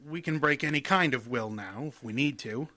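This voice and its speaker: An actor playing a touchy, defiant, money-minded son in a radio detective play, combative and prickly. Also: male voice, medium pitch, normal speed, sharp tone. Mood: resentful. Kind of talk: old-time radio detective play acting.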